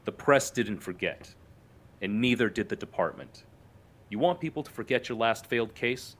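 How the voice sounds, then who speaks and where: An actor playing a curt police chief who scolds a detective in an audio drama. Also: male voice, mid-range voice, animated, normal speed, a bit bright. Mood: stern. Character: stern male police chief, middle-aged boss.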